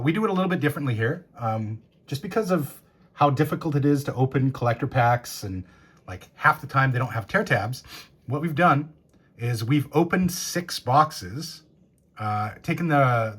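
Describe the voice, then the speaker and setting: Shop-owner host, 30s, male, hoarse and husky after a cold, lively pitch, excited casual unboxing patter. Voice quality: raspy. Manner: enthusiastic, reads card names and rules aloud. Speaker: game store owner. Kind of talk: casual box-opening commentary.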